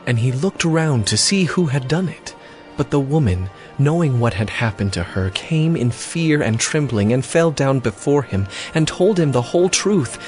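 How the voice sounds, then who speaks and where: Male Bible narrator over soft music. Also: mid-range voice, animated, moderate pace. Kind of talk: scripted dramatized Bible narration.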